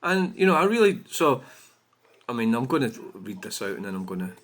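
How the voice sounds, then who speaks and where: A Scottish man rants on his own channel in a bright, forceful voice. He talks indignantly and swears freely, padding his lines with "you know" and "I mean" as he picks apart viewer comments. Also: medium pitch, big pitch swings, fairly quick. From Scotland. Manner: indignant, profane, full of fillers like "you know". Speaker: channel host.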